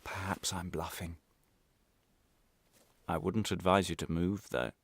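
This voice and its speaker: Actor as a low-voiced, menacing, embittered man. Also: steady tone, breathy edge, no echo, full character voice. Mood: taunting, brooding. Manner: deliberate, menacing, theatrical, swinging from hushed to agitated. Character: embittered, vengeful former prisoner menacing his captor with a bomb. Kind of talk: dramatic radio play monologue.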